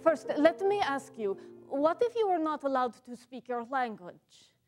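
Period monologue: actress as a proud woman scientist, high-voiced, measured and formal. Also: big pitch swings, soft background music. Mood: storytelling. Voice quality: measured, earnest, formal phrasing. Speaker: living history performer. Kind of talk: one-woman stage monologue. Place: dark stage set, indoors.

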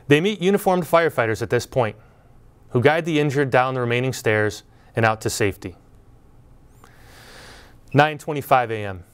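A middle-aged man giving a prepared graduation speech from a podium, telling a story in a measured, earnest way. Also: mid-range voice, clear, resonant tone. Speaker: school speaker at graduation. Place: indoor studio before green backdrop.

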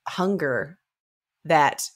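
A young woman co-hosting a casual book discussion, expressive and informal, with halting phrasing. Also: sits low. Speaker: podcast co-host. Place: indoor room. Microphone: close desk microphone.

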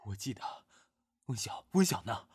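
An actor playing a desperate, injured young man in an audio drama, with a breathy, husky voice. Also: lowish voice, big pitch swings, moderate pace, a little mellow, full character voice. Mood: weak, anxious. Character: young man waking injured in hospital, desperate for lost lover.

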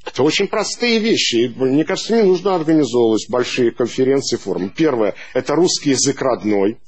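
Husky man speaking at a conference table. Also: mid-range voice, animated, fairly quick. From Latvia. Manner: hesitant, many restarts and fillers, gestures while talking. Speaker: panel speaker. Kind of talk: unscripted panel talk. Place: large conference room. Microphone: table microphone.

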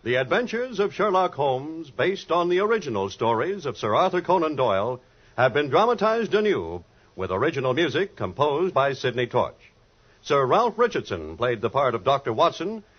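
A man's voice: medium pitch, big pitch swings, normal speed, husky. A man announcing scripted credits in a formal 1950s radio style. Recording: muffled old 1950s radio recording.

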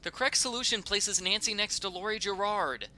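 Bright, high-pitched sarcastic man narrating. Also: brisk. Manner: mock-indignant, sarcastic. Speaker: video critic. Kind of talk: scripted nitpicking narration of game flaws.

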